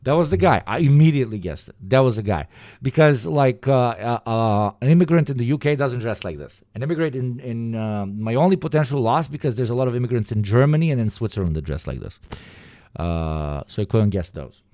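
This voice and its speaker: A man with an Eastern European accent, reacting to a video in casual, profane, rambling commentary with sudden animated bursts. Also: medium pitch, fairly quick, no room sound.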